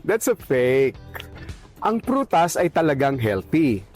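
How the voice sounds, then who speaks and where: A man's voice: a little high, big pitch swings, takes it slowly, a little mellow, faint music behind. A man speaking as a doctor, giving earnest, instructive advice in a sponsored health segment.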